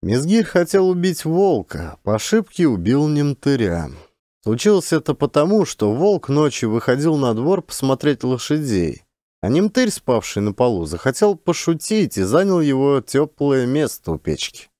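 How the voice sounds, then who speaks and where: A man reading a literary story as an audiobook narrator, in an expressive, measured storytelling manner. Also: mid-range voice, dry recording, clean studio sound.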